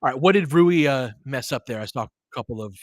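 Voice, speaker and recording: A man's voice: mid-range voice, lively intonation, fast talker, dry recording, studio quality. A man giving live basketball commentary and analysis in an informal, conversational way. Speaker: play-by-play commentator and host.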